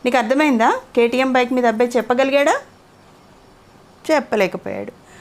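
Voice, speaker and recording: High-voiced woman telling a children's story. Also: animated, fairly quick. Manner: interactive, asks the child questions. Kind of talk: conversational bedtime moral storytelling.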